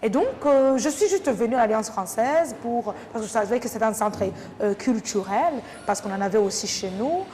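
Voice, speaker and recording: A woman from Rwanda, a teacher talking thoughtfully in an interview with many pauses and fillers. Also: a little high, lively intonation, warm tone. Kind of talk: casual interview talk. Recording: reverberant room with faint noise.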